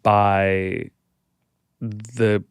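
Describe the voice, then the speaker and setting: A man's voice: lowish voice, flat delivery, extremely slow, creaky, clear, resonant tone, no room sound, clean studio sound. An American man chatting casually as a guest, telling personal stories in a candid, playful, informal way. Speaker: podcast guest.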